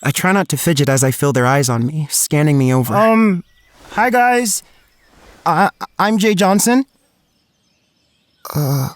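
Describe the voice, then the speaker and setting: A man's voice: on the high side, unhurried, pauses often, no echo, lightly acted. An actor playing a shy, anxious young male student, narrating in the first person in an audio drama with an earnest, introspective manner. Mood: nervous, polite. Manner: earnest, introspective, hesitant in dialogue.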